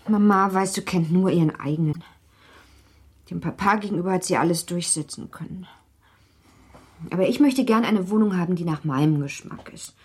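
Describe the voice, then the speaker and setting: Husky, lower-voiced young wife. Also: female voice, moderate pace, pauses often, a little mellow. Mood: hesitant, pleading. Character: young, pampered wife, affectionate, anxious yet willful. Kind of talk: German radio play acting.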